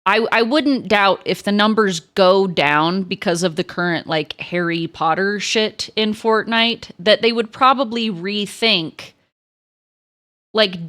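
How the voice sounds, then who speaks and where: A young woman with a crackly, lower voice, chatting frankly with stream viewers and swearing freely. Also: a bit bright. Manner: frank, swears a lot, many restarts. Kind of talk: casual chat to stream viewers.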